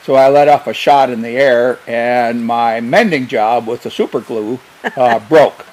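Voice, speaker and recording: An older man, a former wildlife biologist, telling stories in an unhurried, hesitant way with many fillers. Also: mid-range voice, a little mellow, poor audio. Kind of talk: casual storytelling.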